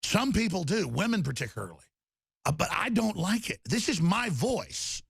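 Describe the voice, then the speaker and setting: A man hosting a talk radio show, raspy and torn-up in tone, rambling emphatically with loud bursts and low mutters. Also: big pitch swings, moderate pace, noisy background. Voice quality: raspy, gravelly voice. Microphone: studio microphone.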